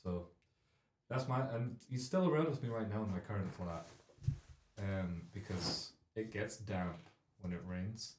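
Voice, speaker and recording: A young man in his twenties hosting a comic chat show, loud and rambling, with a deep carrying voice that is a bit phlegmy. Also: unhurried, background noise. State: phlegmy, clearing throat. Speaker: radio show host. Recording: echoey studio room.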